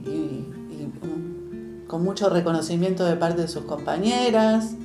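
Interviewee: Argentine woman, informal halting storyteller, echoey room sound, clear guitar music underneath. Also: lowish voice. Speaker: interviewee recalling activist years. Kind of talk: casual reminiscing conversation. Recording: roomy echo, guitar music behind.

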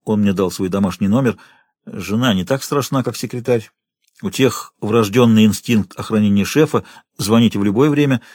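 A man's voice: fairly low pitch, moderate pace, a little mellow, no room sound, clean studio sound. A man reading a first-person literary story as an audiobook narrator, expressively shifting tone as he goes.

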